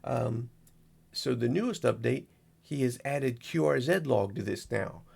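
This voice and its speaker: A man with a gravelly, husky voice giving a casual, unscripted walkthrough as a video host, rambling in a folksy way and narrating each step as he goes. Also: medium pitch, animated, unhurried, darkish tone. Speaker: amateur radio operator, video host.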